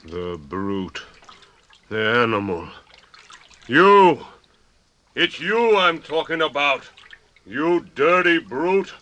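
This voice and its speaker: Surly, hot-headed young convict, slow-spoken. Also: male voice, a little high, sing-song, sharp tone, heavily acted. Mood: contemptuous fury. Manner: blunt, challenging. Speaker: radio drama actor. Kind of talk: 1940s radio acting. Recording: vintage 1940s radio recording.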